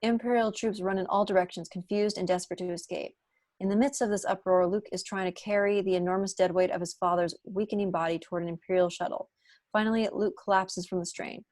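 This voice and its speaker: A woman's voice: medium pitch, steady tone, fast, darkish tone, no echo. A woman narrating the stage directions of a screenplay at a table read.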